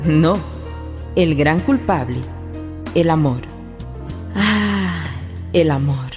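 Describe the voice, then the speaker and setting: A woman playing a knowing, ironic storyteller, narrating a radio drama with wry dramatic pauses. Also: sits low, expressive, music in the background, heavily acted. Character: knowing, ironic female storyteller addressing listeners.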